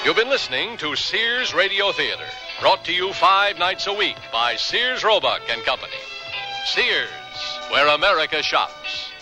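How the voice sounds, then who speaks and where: Male announcer for radio theater credits, fairly high voice, lively inflection, loud music bed. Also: unhurried.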